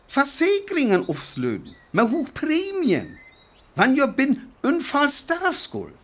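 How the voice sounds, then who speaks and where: A man's voice: high voice, fairly bright tone, husky, full character voice. An actor with a North Frisian accent playing a bitter, agitated survivor in a radio play, rambling intensely about his schemes. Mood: bitterly sardonic.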